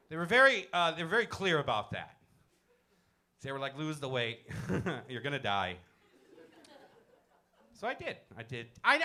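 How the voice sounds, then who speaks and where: Brash, lively stand-up comedian man. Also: mid-range voice. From Iran. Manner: brash, animated, teasing the crowd. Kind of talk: stand-up set with crowd work. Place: comedy club stage. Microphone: handheld stage mic.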